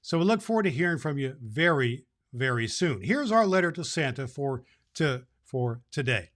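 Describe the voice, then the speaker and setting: A man playing Santa Claus, a jolly old man who reads storybook tales to children and hosts the show in an animated manner. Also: moderate pace. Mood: cheerful hosting. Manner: jolly, animated, booming ho-ho laughs. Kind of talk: storybook reading and address to children.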